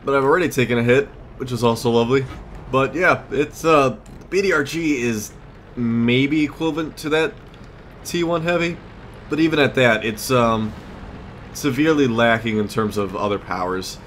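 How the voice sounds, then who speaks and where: A man's voice: medium pitch, normal speed, fairly bright tone. A man giving casual gameplay commentary as a let's play host, muttering and exclaiming as he plays. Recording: clear background noise, game ambience.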